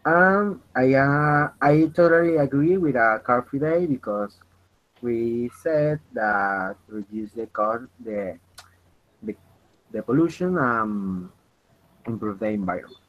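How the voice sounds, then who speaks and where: A man in his twenties with a Venezuelan Spanish accent, leading an online English practice chat in halting, restarting English. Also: mid-range voice, expressive. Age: 20s. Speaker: chat moderator. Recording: dull lo-fi voice call with faint noise.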